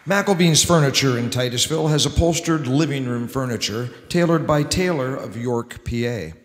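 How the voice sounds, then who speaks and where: A man's voice: mid-range voice, normal speed. A middle-aged man hosting a radio show on stage, reading scripted ads and introductions into a microphone. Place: large indoor hall, on stage. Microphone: microphone on a stand. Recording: echoey hall with long reverb.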